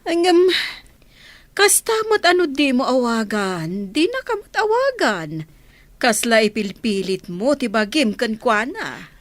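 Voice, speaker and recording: Worried Ilocano village mother, animated. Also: on the high side, unhurried, dry recording. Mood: concerned, prodding. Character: worried doting village mother of a lovesick daughter. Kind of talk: Ilocano radio drama acting.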